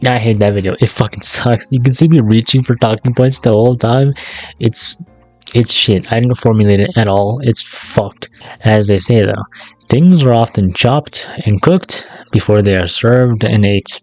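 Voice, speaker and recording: Male video essayist, lower register, slangy and sardonic, casual scripted delivery, very dry recording. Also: normal speed, a little mellow, dry recording, soft background music. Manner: sarcastic, profane, slangy.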